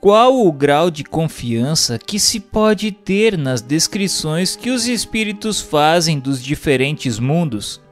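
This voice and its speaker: A man reading scripted narration in a formal, measured manner, posing questions and commentary like an audiobook narrator. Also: on the high side, big pitch swings. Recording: long hall reverb. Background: music.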